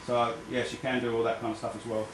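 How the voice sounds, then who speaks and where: A man's voice: mid-range voice, little pitch movement, quick pace. Australian male demonstrator, hesitant, off-the-cuff demo, echoey store. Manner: hesitant, full of ums and restarts. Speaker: product demonstrator. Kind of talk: casual live product demo. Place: crowded store at a product night. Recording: echoey shop with crowd noise.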